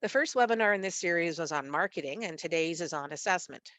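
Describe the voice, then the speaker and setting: A woman with a nasal voice from a cold, hosting a webinar in a measured, read-aloud manner over a video call. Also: on the low side, expressive, fairly bright tone, no room sound. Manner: measured, read-aloud delivery with occasional fillers. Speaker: webinar host, executive director of a literacy network. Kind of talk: scripted webinar presentation.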